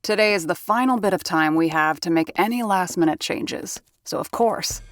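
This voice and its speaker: An actress playing a weary, wisecracking sound effects artist who narrates with dry humor in an audio drama. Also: female voice, lowish voice, normal speed, natural voice. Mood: wry.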